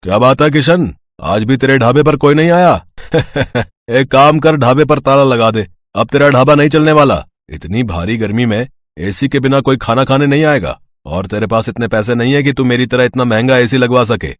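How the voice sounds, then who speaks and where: A man's voice: mid-range voice, normal speed, no room sound, studio quality, lightly acted. A man playing an arrogant, heartless rival restaurant owner in a moral story, taunting and dismissive. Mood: mocking, boastful. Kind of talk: dramatised moral story acting.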